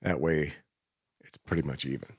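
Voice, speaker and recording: A man with a low-pitched voice chats informally, like a host talking shop with a friend. His delivery is loose and teasing, and the sound is dry, with hardly any room to it. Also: fairly even intonation, normal speed, breathy edge, no room sound. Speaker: radio show host. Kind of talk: casual chat.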